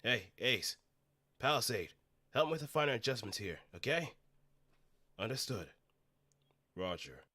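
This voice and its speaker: A man voicing a brisk, commanding mecha pilot giving clipped callouts in the style of anime game dialogue. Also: medium pitch, very animated, bright, forceful tone, no echo, pitched above the performer's own voice, full character voice.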